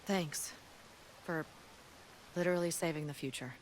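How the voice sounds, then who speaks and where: A woman's voice: low-pitched, measured pace, a little hiss or hum, rough recording. A woman playing a grim, dutiful resistance fighter in a video game cutscene, speaking with earnest, serious urgency. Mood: grateful, sober.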